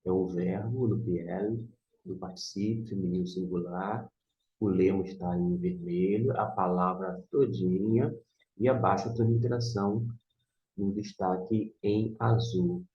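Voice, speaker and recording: A man's voice: low-pitched, steady tone, a little slow. A man with a Brazilian Portuguese accent giving an informal tutorial as a language teacher, didactically repeating and sounding out words. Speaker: Biblical Hebrew teacher. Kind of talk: informal screen tutorial lesson. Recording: muffled, echoey room.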